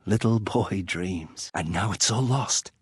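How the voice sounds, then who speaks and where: A man's voice: fairly low pitch, slow pace, breathy edge, dry recording, heavily acted. An actor playing a bitter, proud young nobleman turned warrior in a fantasy game, with a dry, sardonic, clipped delivery. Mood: brooding, bitter. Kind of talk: fantasy video game voice acting.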